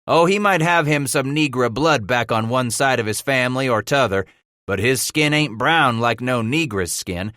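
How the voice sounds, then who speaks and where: A man's voice: mid-range voice, moderate pace, a bit bright, no echo, studio quality, natural voice. A man voicing a young, good-humored fur trapper leader in a rustic mountain-man dialect for an audiobook. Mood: amused, explaining.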